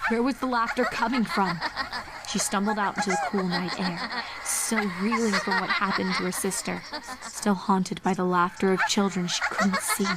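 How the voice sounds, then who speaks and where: Woman reading a creepy fanfic, mid pitch voice, dramatic horror narrator style. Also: heavy background noise. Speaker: fan fiction narrator.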